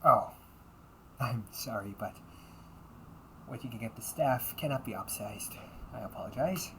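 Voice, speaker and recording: A man's voice: medium pitch, measured pace, a bit bright, a little hiss or hum, rough recording. A man acting the part of a young butler cafe waiter in an audio roleplay, with formal, courteous service talk.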